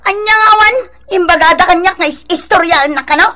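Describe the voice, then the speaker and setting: A woman's voice: very high-pitched, fairly quick, pushed and bright. An actress playing an angry younger village woman in a radio drama, confrontational and prone to shrieking. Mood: accusing. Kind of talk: Ilocano radio drama acting.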